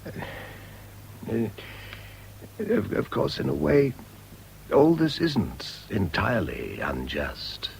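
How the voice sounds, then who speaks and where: A condemned English prisoner in a radio play, overwrought and stammering. His pitch lurches up and down with fear as he pleads. There is clear noise behind the recording. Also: huge pitch swings, extremely slow, long pauses, a little mellow, full character voice. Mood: shaken, remorseful. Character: anguished condemned man in his cell, terrified of hanging. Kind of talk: radio drama acting.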